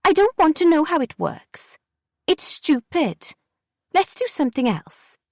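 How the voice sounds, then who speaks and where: A woman's voice: high voice, sing-song, a little slow, dry recording, clean studio sound, voice pushed up, heavily acted. A sulky, defiant young girl, as a woman puts her on. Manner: peevish, sulky, argumentative.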